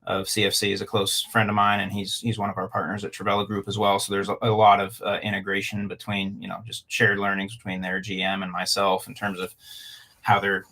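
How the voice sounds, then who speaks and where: A man's voice: fairly low pitch, monotone, a little fast, bright, forceful tone. A man from Canada and Alaska, a guest on a talk show, speaking casually and hesitantly with many fillers. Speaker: guest, club owner. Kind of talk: casual interview.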